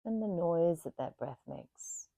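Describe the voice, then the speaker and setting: Slow, low, mellow woman guiding meditation. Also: animated, vocal fry, no room sound. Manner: soothing, unhurried, pausing between short phrases. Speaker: yoga nidra meditation guide. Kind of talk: guided meditation.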